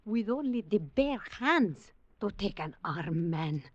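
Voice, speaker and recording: An actress in a 1940s radio drama playing a bold, mysterious, seductive woman with stilted, exotic phrasing and a flattering manner. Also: medium pitch, slow, no room sound. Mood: admiring. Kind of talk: 1940s radio acting, melodramatic. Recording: dull, noisy restored 1940s radio recording.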